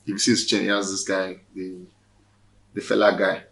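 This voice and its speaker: A man with a Nigerian accent hosting a casual interview, asking quick conversational questions. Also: deep voice, completely flat delivery, creaky, fairly bright tone. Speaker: host.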